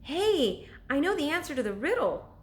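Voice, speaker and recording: Woman voicing an excitable, high-pitched young storybook girl. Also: moderate pace. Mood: eager, excited. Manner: exclamatory, eager. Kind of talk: children's storybook read-aloud dialogue.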